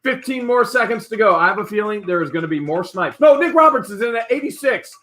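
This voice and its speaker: Guy w a high voice rattling off auction bids live, super excited. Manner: excited, rapid bid calling. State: often loses voice after streams. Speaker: host running a live online auction. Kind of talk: fast auctioneer-style live stream chat.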